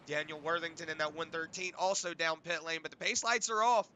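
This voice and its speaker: A high, very bright, tense male voice, a bit hoarse and raspy from lingering sinus trouble, calling laps in a loose, rambling play-by-play style full of "uh"s. There is a faint hiss of noise behind him. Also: a little fast. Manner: relaxed, rambling play-by-play with fillers. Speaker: race commentator. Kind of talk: play-by-play sim racing commentary.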